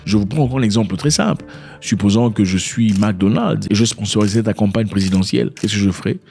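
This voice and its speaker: A man's voice: on the low side, fairly quick, creaky, a little mellow, faint music behind. A man, not a native French speaker, casually explaining politics to viewers, often turning to ask if they follow him. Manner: repeats and restarts words, addresses viewers directly. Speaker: political commentator.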